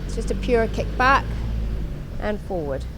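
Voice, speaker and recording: A woman's voice: mid-range voice, animated, a little slow, background noise. A woman instructor talking through an exercise demonstration in an instructional way, repeating her cues as she goes.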